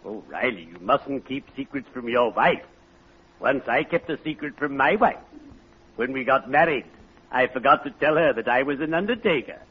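A man's voice: mid-range voice, lively intonation, normal speed, slightly rough. A man acting a genteel, cheerfully morbid undertaker in a 1940s American radio comedy, with formal, unctuous delivery. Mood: solemn, courtly. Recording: muffled, noisy old radio recording.

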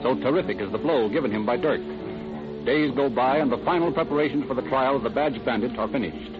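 A man's voice: mid-range voice, fast talker, music in the background. A man narrating as a radio announcer in a dramatic, formal 1930s style. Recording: dull old lo-fi radio recording.

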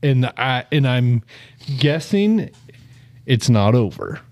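A man chatting on a podcast with a lively, swooping voice that climbs and drops a lot. He keeps cracking jokes and laughing, and the talk stays loose and casual. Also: medium pitch, takes it slowly. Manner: joking, laughs often. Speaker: podcast co-host. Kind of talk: casual chat.

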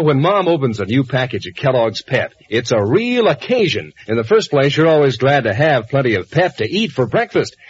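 A man giving a folksy, enthusiastic 1940s radio advertising pitch to children. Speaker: commercial announcer. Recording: dull vintage radio recording.

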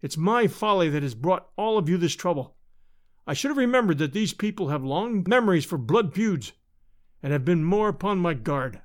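A man voicing a stern, self-possessed gentleman adventurer in an audiobook, speaking gravely and tersely. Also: high-pitched, expressive, normal speed. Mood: bitter, remorseful.

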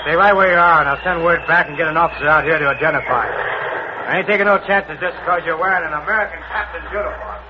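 A man's voice: a little high, fast, natural voice. A man playing a suspicious, folksy American army sentry on guard in an old-time radio drama. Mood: wary, firm. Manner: wary, barking, folksy. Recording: noisy, muffled old radio broadcast.

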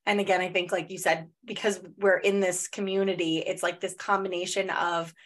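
A woman in her thirties talks through a casual podcast chat in a slightly low voice. She leans on a lot of "like" fillers and comes out in quick bursts. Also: little pitch movement. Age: 30s. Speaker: podcast host.

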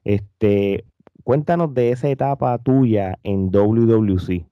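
A man, a podcast co-host who asks relaxed questions and backs the talk with short replies. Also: on the low side, slow, no echo. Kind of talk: casual interview. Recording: dull, dry lo-fi mic.

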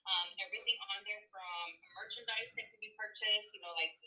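A woman's voice: medium pitch, steady tone, brisk, bright, forceful tone, rough recording. A woman raised in Texas, a mother, chatting upbeat as a podcast guest about her group's events, with many ums. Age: adult with kids. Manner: upbeat, chatty, says um a lot. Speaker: guest, founder of a women's group. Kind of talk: casual podcast interview.

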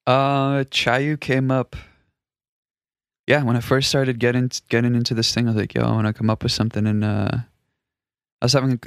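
Relaxed DJ guest, male, Russian native speaker, gravelly, dry mic. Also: medium pitch, normal speed, no echo. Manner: relaxed, swears, acts out quoted exchanges. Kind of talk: casual chat.

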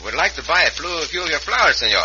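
A man's voice: on the high side, big pitch swings, rapid-fire, sharp tone, muffled recording, exaggerated voice. A man playing a courteous, fearless Mexican cowboy adventurer with a Mexican accent in an old radio western. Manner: courtly, polite, Spanish words mixed in. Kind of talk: 1950s radio western acting. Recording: noisy, band-limited old radio recording.

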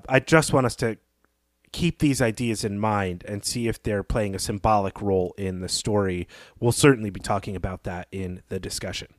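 Lower-voiced man giving measured literary commentary. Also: no echo, studio quality. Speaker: podcast host.

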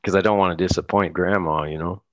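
A man's voice: deep voice, steady tone, fast, no room sound, highs cut off. An American man, middle-aged, chatting casually as an interview guest, rambling with frequent restarts and laughs. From United States. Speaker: guest musician.

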